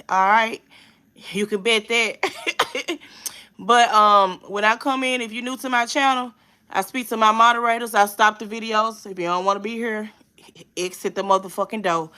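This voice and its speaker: A woman with a bright, forceful voice hosts her own reaction livestream. She talks straight to her viewers in casual, emphatic slang and swears freely as she explains how she runs her channel. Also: mid-range voice. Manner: profane, emphatic, streetwise. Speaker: commentary channel host.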